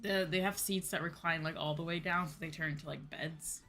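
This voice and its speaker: A woman's voice: sits low, steady tone, a little fast, fairly bright tone. An American woman chatting casually on a livestream in an informal, slangy, rambling way. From United States. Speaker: VTuber streamer, host. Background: background music.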